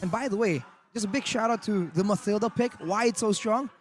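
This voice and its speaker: A man giving energetic analysis as color commentator on an esports match. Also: sits high, brisk.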